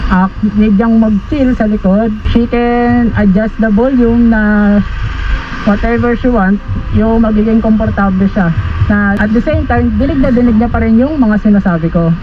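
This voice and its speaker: A man's voice: very high voice, distant mic. A Filipino man chatting casually in an informal product-review vlog, mixing in English phrases while riding a motorcycle. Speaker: motovlogger and motorcycle rider. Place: outdoors on a moving motorcycle. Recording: muffled helmet intercom audio, loud road noise.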